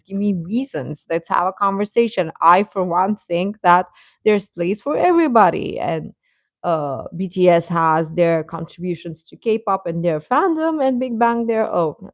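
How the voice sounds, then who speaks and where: A woman's voice: medium pitch, no room sound. Woman chatting casually in a close, dry recording. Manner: rambling, halting, often chuckling as she talks. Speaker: host of a K-pop fan channel. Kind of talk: casual livestream chat, replying to comments.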